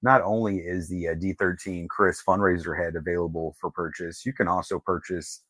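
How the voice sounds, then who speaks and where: Low-pitched man chatting casually on a show. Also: steady tone, normal speed, fairly bright tone, no room sound. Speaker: show co-host. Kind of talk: casual chat.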